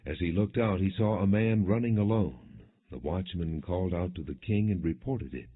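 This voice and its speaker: A man reading scripture as a scripted audiobook narration in a measured, solemn way. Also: deep voice, breathy edge. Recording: dull, lo-fi narrow-band audio.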